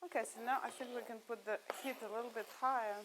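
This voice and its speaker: A woman's voice: a little high, fairly even intonation, fast talker, very noisy. A middle-aged woman from Russia, a guest talking through each step as she cooks on a TV show and laughing easily. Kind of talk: cooking demonstration chat.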